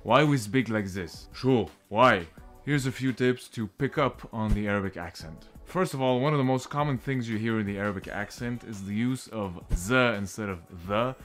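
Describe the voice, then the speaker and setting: A man's voice: lowish voice, moderate pace, a bit bright, soft background music. Man giving a video tutorial. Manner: explaining step by step. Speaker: online video presenter. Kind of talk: instructional video.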